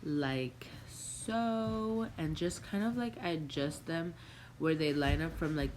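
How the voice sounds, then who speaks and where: Young female beauty vlogger, nasal from a cold, low-pitched and unhurried; casual tutorial patter at home. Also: noisy background. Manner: relaxed, chatty, addresses viewers as guys. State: stuffy nose from a cold. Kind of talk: makeup tutorial walkthrough. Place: home room with a Christmas tree.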